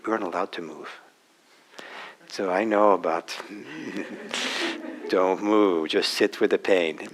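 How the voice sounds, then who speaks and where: An older man, a soft-spoken meditation teacher, answering a question in a gentle, halting way with many restarts. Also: medium pitch, poor audio. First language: German. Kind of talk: dharma talk answering questions. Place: meditation hall with a group.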